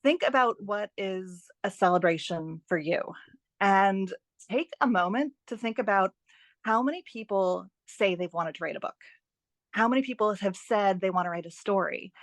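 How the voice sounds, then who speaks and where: A woman's voice: mid-range voice, moderate pace, no room sound. A woman giving encouraging, emphatic advice as an author and writing teacher in a conversational podcast interview. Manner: enthusiastic, encouraging, emphatic repetition.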